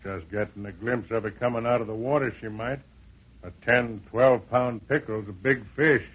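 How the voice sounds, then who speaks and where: A man's voice: mid-range voice, steady tone, normal speed, breathy edge, close to an everyday voice. An actor playing a folksy, plain-spoken handyman in a vintage radio drama, deferential, wary and skeptical. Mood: matter-of-fact, skeptical. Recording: dull old radio recording.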